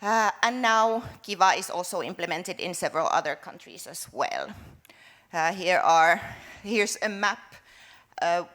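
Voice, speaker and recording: A woman's voice: fairly low pitch, unhurried, a bit bright. Woman presenting a workshop. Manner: friendly, loose, with frequent fillers and restarts. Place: conference room.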